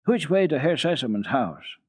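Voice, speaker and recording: A man voicing an eager, innocent little girl who is curious and excited, in a dramatic storybook reading. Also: fairly high pitch, normal speed, clean studio sound, pitched above the performer's own voice. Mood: earnest. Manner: excited, earnest. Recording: dull phone-like old radio recording, roomy echo.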